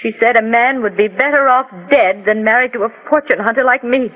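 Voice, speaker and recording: A woman's voice: on the high side, brisk, phone-quality sound, faint music behind, lightly acted. A woman playing a genteel, solicitous and wounded lady, earnest and hesitant, in 1940s radio acting style. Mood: uneasy, hurt. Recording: band-limited old 1940s radio recording.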